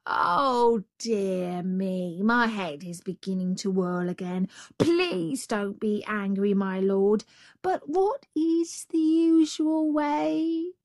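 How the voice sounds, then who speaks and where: A woman's voice: medium pitch, slow pace, darkish tone, no room sound. A woman voicing a male storybook jackal who plays dim, fretful and hesitant, with an obsequious manner. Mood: anxious, apologetic. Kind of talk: storybook character voice.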